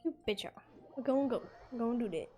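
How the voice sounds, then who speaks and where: A woman's voice: on the high side, expressive, fairly quick, darkish tone. A woman streamer casually commenting on a game and chatting back at its characters in a folksy way. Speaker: gaming streamer. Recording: game music faintly behind.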